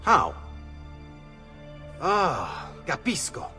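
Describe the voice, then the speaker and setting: A man's voice: a little high, sing-song, extremely slow, pushed and bright, faint music behind, full character voice. An actor voicing a commanding male thief leader in a video game, always pressing for speed. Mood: urgent. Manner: urgent, commanding. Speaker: game character.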